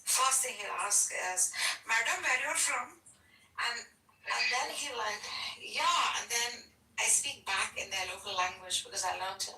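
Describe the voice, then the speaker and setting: A woman's voice: fairly high pitch, a little slow, recorded from a distance. Blunt, swearing woman in a roomy echo. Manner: blunt, swears freely, animated storytelling. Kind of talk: casual chat.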